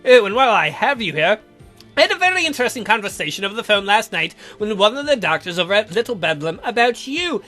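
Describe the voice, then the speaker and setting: A man voicing a dry, sardonic vampire count who speaks deliberately, with mock-polite menace, for a visual novel game. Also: sits high, big pitch swings, bright, forceful tone, soft background music, exaggerated voice. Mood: menacing.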